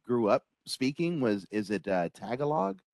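A man's voice: mid-range voice, sing-song, normal speed, creaky, a little mellow, no echo, clean studio sound. A middle-aged man hosting a casual interview on a video call, friendly and joking as he asks questions. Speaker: host.

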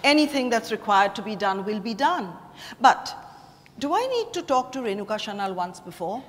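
A woman's voice: mid-range voice, sing-song, normal speed, lightly acted. An Indian-accented woman acting an authoritative, guarded bereaved mother in a staged play reading. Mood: composed, probing. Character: composed, authoritative bereaved mother, defensive under questioning. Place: stage in a hotel ballroom. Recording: reverberant hall, distant stage pickup.

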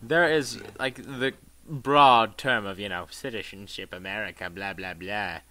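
A young man giving a casual let's-play commentary, crude and rambling, with self-mocking jokes and sudden loud outbursts. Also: fairly low pitch, a little slow. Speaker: gaming commentator. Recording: game music loud underneath. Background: electronic dubstep game music.

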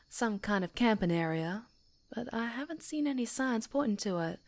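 A woman's voice: on the high side, lightly acted. A woman playing a sweet, jittery, chatty young woman in an ASMR roleplay, with a girlish manner.

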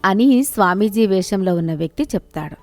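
A woman's voice: mid-range voice, animated, fairly quick, no room sound. A woman reading a scripted moral story as its storyteller. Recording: music behind the voice.

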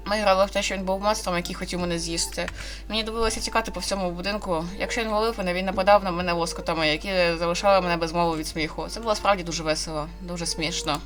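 A woman's voice: lowish voice, a bit bright, natural voice. A woman with a Ukrainian accent voicing a troubled, anxious young girl from a horror visual novel in an earnest, dramatic read.

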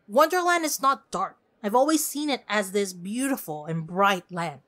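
A woman delivers a scripted podcast review on her own. Her voice sits at a medium pitch and moves up and down a great deal, giving her opinions an expressive, animated shape. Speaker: podcast host.

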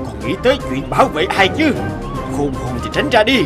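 A man's voice: high voice, wildly swooping pitch. A man voicing a menacing, boastful tiger in a cartoon fairy tale. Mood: menacing, gloating. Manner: boastful, taunting, drawn-out threats. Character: menacing tiger who threatens, then pleads. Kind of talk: cartoon voice acting. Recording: loud music bed behind voice.

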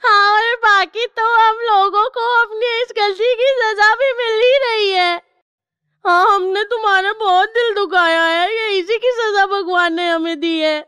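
High, bright voice of a cartoon schoolgirl. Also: steady tone, unhurried, dry recording, full character voice. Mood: tearful, sorry. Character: young schoolgirls, teased small girl and repentant bullies. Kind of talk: Hindi cartoon story dubbing.

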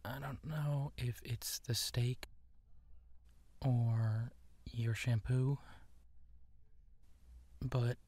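Man, young adult, soft and whispery, hesitant and tender, slow unhurried talk, dry close sound. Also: medium pitch, fairly even intonation, no echo. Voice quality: soft-spoken, often whispering. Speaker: audio roleplay performer. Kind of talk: romantic ASMR roleplay.

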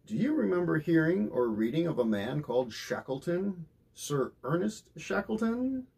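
A man acting out a storybook dad, patient yet firm, coaxing with emphatic swings of pitch. The voice is raised and a little gravelly. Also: sing-song, moderate pace, a little mellow, heavily acted. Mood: inviting. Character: patient, firm father calling his son.

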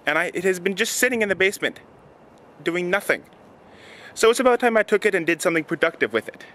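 A man's voice: sits high, animated, fast talker, a bit bright. A nineteen-year-old man chatting casually and offhandedly to a handheld camera outdoors as the host of an online video. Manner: offhand, informal. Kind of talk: casual vlog chat and demonstration. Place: outdoors in a snowy yard. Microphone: handheld camera at arm's length or set nearby.

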